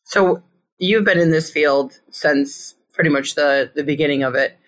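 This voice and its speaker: A woman's voice: low-pitched, measured pace, rough recording. A woman from Connecticut hosting a podcast, chatting in a playful, upbeat way as she introduces her guest and asks him questions. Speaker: podcast host.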